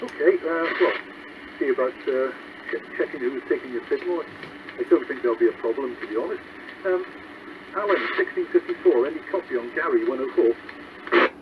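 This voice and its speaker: A man coordinates a CB net and calls each station in a steady, formal manner. His voice arrives over a tinny radio link. Loud static rides under every word. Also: mid-range voice, normal speed, long pauses. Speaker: net controller calling stations.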